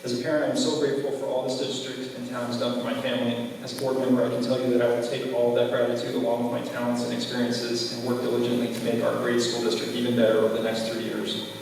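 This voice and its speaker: A man's voice: mid-range voice, monotone, fast talker, darkish tone. A man giving an earnest, formal closing speech as a school board candidate at a public forum. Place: large echoey room at a public forum. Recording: echoey hall from far off, faint noise.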